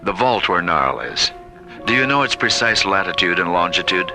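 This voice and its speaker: An actor playing a solemn, wise alien leader in a radio science fiction drama, speaking in a measured, ceremonial way. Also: male voice, deep voice, big pitch swings. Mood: calm command. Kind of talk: old-time radio science fiction acting. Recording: long reverb, music underneath.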